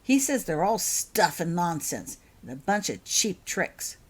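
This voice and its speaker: A woman voicing a curious, excited little girl in a storybook reading, chatty and breathless with wonder. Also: on the low side, a little slow, husky, exaggerated voice. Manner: breathless, exclaiming, eager.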